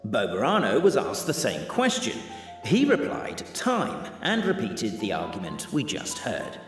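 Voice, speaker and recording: A man's voice: fairly low pitch, wildly swooping pitch, measured pace. A male actor playing a wry, dramatic storybook narrator in a video game, with echoey reverb and music behind. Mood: wry storytelling.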